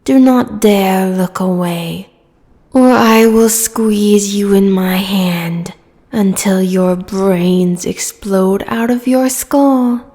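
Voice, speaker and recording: A woman playing a proud, menacing giant sea goddess in a fantasy audio roleplay, speaking in a haughty, theatrical way with phrases that trail into breathy whispers. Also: medium pitch, extremely slow, warm tone. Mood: threatening. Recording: long cavernous reverb.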